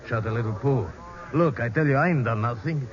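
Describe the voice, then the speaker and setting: An actor playing a defensive, sullen working-class American suspect who answers hesitantly and grudgingly in a radio crime drama. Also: male voice, medium pitch, a little fast, highs cut off, close to an everyday voice.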